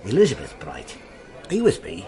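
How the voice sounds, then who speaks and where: A brisk, animated police detective. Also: male voice, fairly low pitch, crowd noise. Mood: intent, confiding. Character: brisk male police detective inspector hunting a relic. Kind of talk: radio drama acting.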